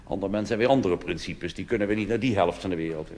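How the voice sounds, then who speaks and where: A man's voice: low-pitched, rapid-fire, darkish tone. A Dutch male cabaret performer delivering a live stage monologue with dry, conspiratorial asides and chuckles to the audience. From Netherlands.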